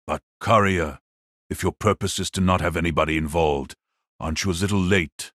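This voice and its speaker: A man voicing a wicked, cold old sorcerer patriarch in an audiobook drama, speaking in a slow, mocking, condescending way. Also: low-pitched, a bit bright, no room sound, clean studio sound.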